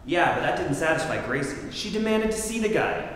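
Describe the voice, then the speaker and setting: An actor playing a wisecracking, exasperated detective in a staged 1940s radio comedy. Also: male voice, fairly high pitch, sing-song, a little fast, a bit bright. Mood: wry. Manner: brash, exasperated. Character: wisecracking hard-boiled radio detective man. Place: theatre stage. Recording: echoey theatre, faint hiss.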